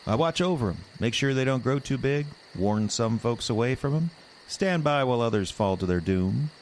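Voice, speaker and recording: An actor playing a sly, folksy old hermit with a drawling backwoods way of talking in an audio drama. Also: male voice, medium pitch, lively intonation, normal speed, darkish tone, slight background noise, lightly acted. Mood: folksy, wry.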